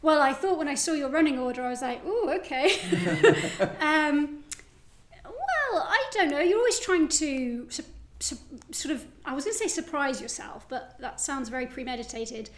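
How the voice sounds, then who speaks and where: A woman's voice: high-pitched, lively intonation, normal speed. A woman poet speaking informally and with self-deprecation in a live literary conversation. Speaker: guest poet. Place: indoor public event venue. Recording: echoey event room.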